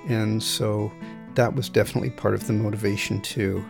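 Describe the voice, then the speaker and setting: A man recounting personal memories in a reflective, measured way for a podcast, with soft music behind him. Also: lowish voice, level tone, gravelly. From United States. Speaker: interviewee. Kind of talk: personal storytelling.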